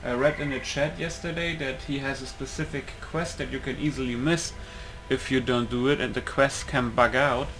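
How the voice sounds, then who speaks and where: A man's voice: medium pitch, fairly even intonation, normal speed, hardly any pauses. A man giving casual let's play commentary, rambling and thinking aloud as he plays. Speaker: gaming video host. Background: game music.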